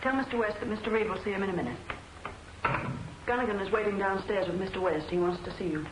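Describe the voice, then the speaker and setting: Quick female voice, efficient secretary acted by a woman, vintage film serial, echoey, noisy soundtrack. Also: medium pitch, close to an everyday voice. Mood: brisk. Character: brisk, efficient newspaper office secretary. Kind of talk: 1940s film serial acting.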